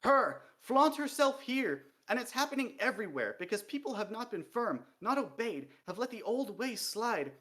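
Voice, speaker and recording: A bitter female dwarf zealot spits out her words in a high, strained voice, as a man reads her part aloud. She rants hard and loud, her pitch climbing and swooping. It sounds like a storybook villain cracking under pressure. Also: big pitch swings, normal speed, few pauses, exaggerated voice. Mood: ranting. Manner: high, strained, ranting to the point of sobbing. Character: fanatical female dwarf official, shrill and bitter. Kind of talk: reading a novel aloud.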